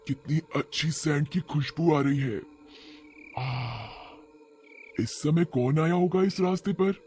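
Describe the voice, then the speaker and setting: A man as a low, scheming tree witch. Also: darkish tone, close to an everyday voice. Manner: musing aloud, exclaiming. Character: greedy, scheming female witch lurking in a tree.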